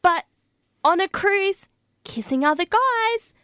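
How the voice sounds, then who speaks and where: A woman's voice: very high voice, expressive, takes it slowly, pushed and bright, dry recording, studio quality, full character voice. A woman playing a naive, earnest young woman in an audio sitcom, with a dramatic manner. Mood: indignant, earnest.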